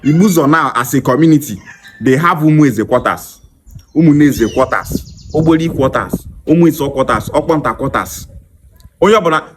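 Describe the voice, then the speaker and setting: Emphatic Nigerian Igbo man, fairly high voice. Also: slight background noise. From Nigeria, Igboland. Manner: emphatic, repetitive, insistent. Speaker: online commentator. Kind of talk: impassioned monologue to an audience, switching between English, Igbo and Pidgin.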